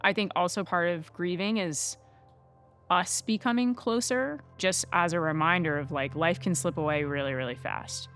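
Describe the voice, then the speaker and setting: A woman's voice: on the low side, moderate pace, fairly bright tone, soft background music. A young adult woman speaking reflectively in a documentary interview. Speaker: interviewee, daughter.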